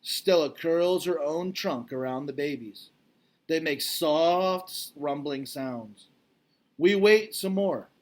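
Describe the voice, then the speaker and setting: Male storybook reader, slightly high register, reading aloud for children. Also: takes it slowly. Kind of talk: storybook narration.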